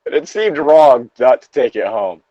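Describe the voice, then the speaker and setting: A man's voice: medium pitch, lively intonation, moderate pace, mellow, no room sound, dull audio. A man reading a story aloud casually, with profane, self-interrupting asides and banter as a co-host. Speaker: co-host reading and riffing on internet horror stories.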